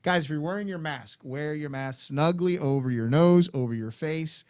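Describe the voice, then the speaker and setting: A man's voice: on the high side, moderate pace, a little mellow. A man hosting a school's afternoon announcements, friendly and instructive, repeating his points to students.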